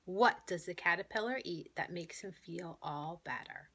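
Lady doing library trivia, bright punchy voice, on the lower side. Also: moderate pace, breathy edge. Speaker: librarian posing a trivia question. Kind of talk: quiz presentation.

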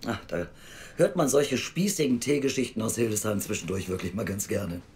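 A woman's voice: rumbling low, lively intonation, fairly quick, darkish tone, slight background noise. An actress playing a blunt, sceptical, world-weary female youth welfare officer in an audio drama, speaking drily. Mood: weary, subdued. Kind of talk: naturalistic audio drama acting.

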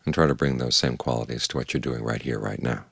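Very deep gravelly older monk. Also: male voice, no echo, studio quality. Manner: calm, measured, plain-spoken teaching. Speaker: Buddhist monk giving a Dhamma talk. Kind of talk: evening meditation talk.

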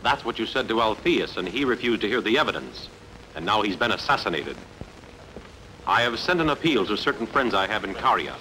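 An actor playing a bold, defiant hero of the ancient world in an earnest, declamatory film dub, with some noise. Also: male voice, on the low side, very animated, brisk, pushed and bright. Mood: earnest, accusing. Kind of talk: dubbed sword-and-sandal film acting. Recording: noisy fan-dubbed film soundtrack.

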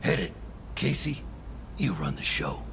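An actor voicing a cocky, flirtatious cowboy crime boss in a video game, with a gravelly, drawling, slangy delivery. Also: male voice, low voice, huge pitch swings, unhurried, background noise, full character voice. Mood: cool, sly. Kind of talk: cinematic video game acting.

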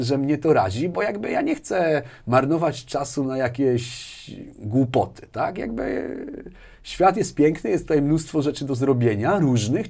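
A man's voice: mid-range voice, gravelly, husky. A man in his forties explaining in a casual interview, repeating words and often checking with a questioning tag. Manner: animated explaining, repeats words, tags sentences with a questioning "right?". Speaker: interview guest, sales executive. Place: small furnished indoor studio. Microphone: lapel mic.

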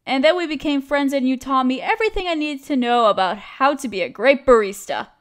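High-pitched girlish young barista acted by a woman, cute, friendly, chatty, teasing, expressive, casual audio roleplay. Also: fairly quick. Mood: fond, teasing. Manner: expressive, playful complaining. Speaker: voice actress. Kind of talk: scripted one-sided audio roleplay, casual chat.

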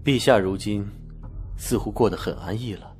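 An actor playing a weary, guarded general-prince of ancient China, speaking formal, measured court language in a period audio drama. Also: male voice, fairly low pitch, a little mellow, faint music behind, close to an everyday voice. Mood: somber.

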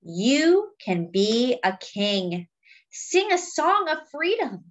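A woman reading a picture book aloud to young children in a deliberate, teacherly way, adding simple explanations as she goes. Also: high voice, very animated. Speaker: children's read-aloud storyteller.

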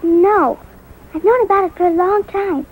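A woman's voice: extremely high pitch, normal speed, a little mellow, rough recording. A woman playing an earnest, childlike young girl in a 1950s radio drama. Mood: earnest, confiding. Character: earnest young girl confiding in a grown-up friend. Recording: vintage 1950s radio recording.

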